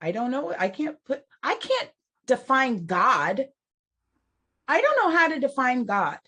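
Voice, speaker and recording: This woman speaks slowly and reflectively, her voice a little high. She pauses and restarts often as she works out her thoughts, with occasional laughs. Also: very animated, bright, forceful tone, slightly rough. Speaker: podcast co-host. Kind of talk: casual chat on recovery and spirituality.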